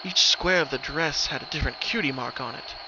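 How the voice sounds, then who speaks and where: A man with a mid-pitched voice narrates a horror story in a dramatic reading. His delivery is scripted narration. Clear noise runs behind his voice. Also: brisk, lo-fi sound. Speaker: narrator of horror fan fiction. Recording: noisy recording, rumbling ambient background.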